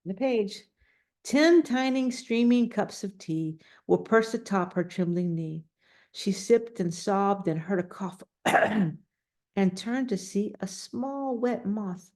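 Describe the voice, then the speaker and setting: A woman's voice: mid-range voice, takes it slowly, a little mellow. A woman reading a children's storybook aloud, adding casual, simple explanations and questions for young listeners. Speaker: storybook reader.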